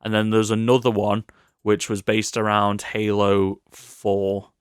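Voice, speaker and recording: A man's voice: lowish voice, completely flat delivery, unhurried, clear, resonant tone, no room sound, clean studio sound. A man co-hosting a podcast, chatting informally and jokingly about films and television. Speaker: podcast co-host. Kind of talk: casual film and TV chat.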